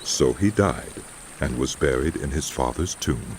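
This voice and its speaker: A man giving solemn, measured dramatic narration of scripture as a scripted audio production. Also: extremely low pitch, lively intonation.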